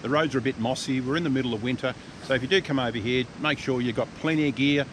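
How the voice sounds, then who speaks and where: An Australian man hosting a motorcycle vlog, chatting casually outdoors in an easygoing way, with relaxed local slang. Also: fast talker. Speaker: vlog host and adventure motorcyclist. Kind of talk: casual chat to camera. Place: outdoors by the roadside. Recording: outdoor noise with vehicle rumble.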